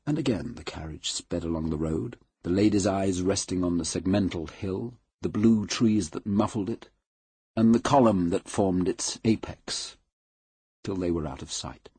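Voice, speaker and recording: A man reads a classic novel aloud in a measured, unhurried and formal way. His voice sits somewhat low and carries a strong breathy huskiness. It is recorded close and completely dry. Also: warm tone, no echo. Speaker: audiobook reader. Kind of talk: scripted literary narration.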